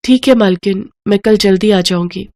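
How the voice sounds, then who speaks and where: A woman voicing a humble, gentle poor maid and mother in a children's story, speaking meekly and deferentially. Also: medium pitch, moderate pace, dry recording, studio quality, close to an everyday voice. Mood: meek apologetic. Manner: meek, deferential.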